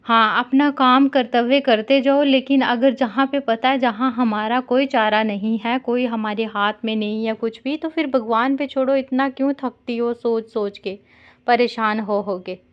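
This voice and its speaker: A woman chatting casually on a call, consoling and gently scolding as she tells a simple story in a conversational way. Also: fairly high pitch, fairly even intonation, moderate pace. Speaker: caller consoling an older sister figure. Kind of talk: casual phone chat with storytelling. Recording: dull lo-fi call recording.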